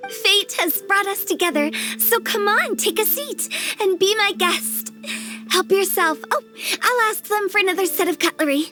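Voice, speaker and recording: A woman's voice: very high voice, normal speed, sharp tone, faint music behind. A woman playing a spirited, bossy but hospitable teenage girl in an anime game, with a breezy delivery. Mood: welcoming. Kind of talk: anime game dub acting.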